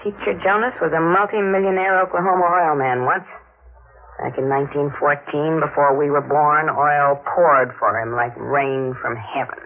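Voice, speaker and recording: Bold, calculating young noir blackmailer, cool delivery on a thin, muffled vintage radio recording. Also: female voice, low voice, fairly even intonation, normal speed. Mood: scheming, matter-of-fact. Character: cool, scheming young female blackmailer, noir femme fatale. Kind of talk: 1950s radio noir acting.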